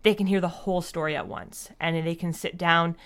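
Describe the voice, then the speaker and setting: A woman's voice: fairly low pitch, fairly even intonation, brisk, fairly bright tone, smooth. A woman talking casually as an interview guest, explaining things reflectively and often dropping in "like" as she goes. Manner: reflective, explanatory, frequent "like" as a verbal habit. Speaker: interview guest, audio drama writer.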